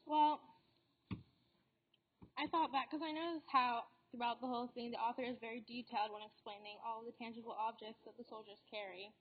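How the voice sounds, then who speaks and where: A teenage girl, a student guest on a school podcast, answering thoughtfully and hesitantly with many pauses. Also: on the high side, fairly even intonation. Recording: dull lo-fi recording.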